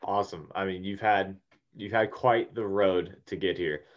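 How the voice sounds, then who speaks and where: Low-voiced male sports interviewer, slightly muffled. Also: steady tone, normal speed. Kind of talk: casual interview questions.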